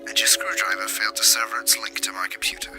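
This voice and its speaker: A man's voice: lowish voice, steady tone, fast, natural voice. A man playing a smug, mocking villain in an audio drama, sneering wordily at a rival. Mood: smug, taunting. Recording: loud music almost covering the voice. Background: loud music.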